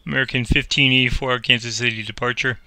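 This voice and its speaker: A man's voice: mid-range voice, steady tone, fast talker. A man playing an air traffic controller, mixing radio phraseology with casual commentary on his game.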